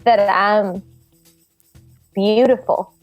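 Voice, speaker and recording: Mid-pitched woman in comedy reading. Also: steady tone, very slow, no echo, highs cut off, faint music behind. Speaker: performer in a comedy table read. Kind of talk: improvised comedy acting.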